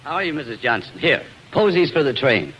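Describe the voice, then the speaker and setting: An actor playing an earnest, smooth-talking man in love in a 1940s radio drama. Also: mid-range voice, sing-song, brisk, a bit bright. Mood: baffled, pleading. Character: earnest, smooth-talking male suitor, cafe schemer in love. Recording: muffled, hissy 1940s radio broadcast.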